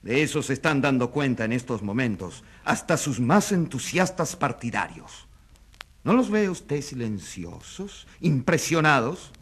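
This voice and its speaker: An actor playing an indignant journalist in a radio drama, accusing with emphatic oratory and rhetorical questions. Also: male voice, medium pitch, big pitch swings, a little slow, a bit bright, slightly rough, exaggerated voice. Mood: defiant. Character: indignant crusading male journalist acting as accuser. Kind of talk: dramatic radio play acting.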